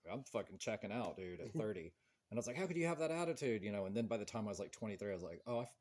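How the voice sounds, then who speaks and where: A man's voice: fairly low pitch, expressive, very fast, a little mellow. A man in his forties hosting a podcast, chatting casually and telling stories in a rambling way. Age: 40s. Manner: rambling, storytelling with quoted voices. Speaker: podcast host. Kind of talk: casual chat.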